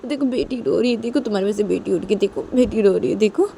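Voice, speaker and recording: A woman voicing an exasperated, fretful woman who nags and hushes, repeating questions and pleas. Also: on the high side, fairly quick, a little hiss or hum, pitched above the performer's own voice, lightly acted. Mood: fretful scolding. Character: exasperated woman scolding and hushing her crying companion.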